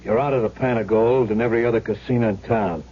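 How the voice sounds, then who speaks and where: Hard casino boss, lowish mellow voice, muffled old radio. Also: male voice, steady tone, fast, husky. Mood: stern, cold. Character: stern, unbending casino boss. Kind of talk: old-time radio drama acting.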